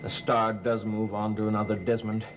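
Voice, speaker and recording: A man's voice: lowish voice, level tone, moderate pace, close to an everyday voice. An actor playing a grave, decisive man in a vintage gothic television soap. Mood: urgent. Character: grave, decisive man confronting a family curse. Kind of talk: 1960s-70s television soap melodrama. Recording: old TV soundtrack with music behind.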